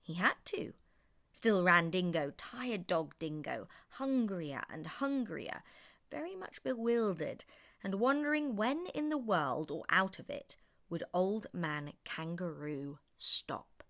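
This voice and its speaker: A woman's voice: on the low side, very animated, slow, fairly bright tone. A woman reading a children's story aloud in a theatrical, rhythmic sing-song way.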